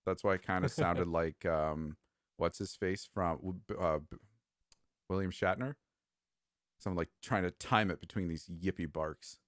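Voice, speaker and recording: A man's voice: deep voice, measured pace, no room sound, studio quality. A man hosting a podcast, chatting casually in a laid-back, joking way. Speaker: podcast host.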